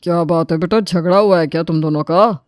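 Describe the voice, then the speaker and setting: A man's voice: on the high side, fairly quick, clean tone, no room sound, studio quality, natural voice. A man playing an elderly, reasonable but exasperated father-in-law who admonishes and pleads for peace in a family story. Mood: concerned.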